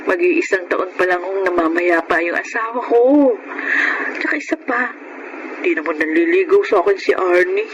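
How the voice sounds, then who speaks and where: A woman's voice: on the low side, animated, normal speed, lo-fi sound, natural voice. A woman playing a modest, tender young widowed mother in a radio drama, with a Filipino accent and a polite manner. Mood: shy, demurring. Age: young adult. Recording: noisy radio drama recording.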